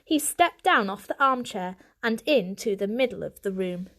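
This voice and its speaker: Expressive woman narrating a storybook. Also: medium pitch, moderate pace. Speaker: audiobook narrator.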